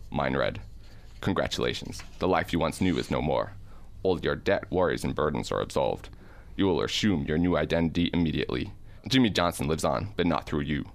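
A man playing a world-weary private eye, narrating a film noir radio play in a deadpan, hardboiled way. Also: very deep voice, gravelly, exaggerated voice. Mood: grave, deadpan.

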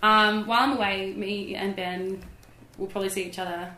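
A woman's voice: mid-range voice, flat delivery, moderate pace, sharp tone, a little hiss or hum. A young woman co-hosting a podcast, chatting casually with playful teasing and frequent giggles. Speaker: podcast co-host. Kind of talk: casual comedic chat. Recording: echoey room.

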